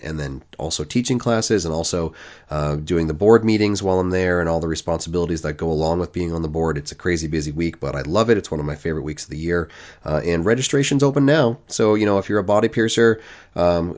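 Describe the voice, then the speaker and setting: Low-voiced guy with a cold rambling through his podcast intro, kinda dull lo-fi sound. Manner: relaxed, rambling, many fillers and restarts. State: stuffed up with a cold. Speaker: podcast host. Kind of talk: casual solo podcast monologue.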